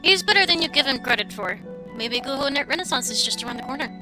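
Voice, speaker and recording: Gamer girl streaming, kinda high voice, casual reactions, music really loud behind her. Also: expressive, fast. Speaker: game streamer. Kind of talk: casual livestream chat, reading dialogue and reacting.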